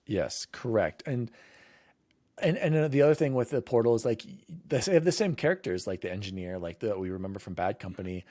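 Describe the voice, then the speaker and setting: A man's voice: on the low side, expressive, fairly quick, mellow, dry recording, clean studio sound. A man hosting a gaming podcast, chatting casually and reading out news lists in an informal, conversational way. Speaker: podcast host.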